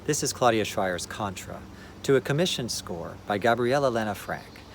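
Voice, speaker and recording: A man with a fairly low voice delivers a measured, formal introduction to a dance piece as festival director. His words are prepared and even, with faint outdoor traffic sounds behind him.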